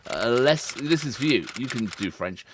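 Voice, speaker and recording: A man's voice: medium pitch, lively intonation, normal speed, a bit bright, slight background noise. A middle-aged man, co-hosting a reaction video in casual chat, reading out notes with asides. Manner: chatty, reads background notes with asides.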